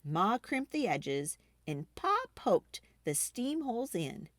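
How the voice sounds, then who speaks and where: A woman reading a children's book aloud expressively, with dramatic emphasis and drawn-out key words. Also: medium pitch, very animated, dry recording, clean studio sound. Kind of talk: expressive storytime read-aloud.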